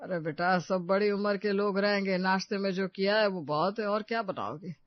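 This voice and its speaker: Casting: man to play older patriarch for a family audio story, high pitch, plain-spoken, practical, dry slightly dull sound. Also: normal speed, no room sound, natural voice. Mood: hospitable. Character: elderly family patriarch, caring, practical father-in-law. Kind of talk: Hindi audio story acting.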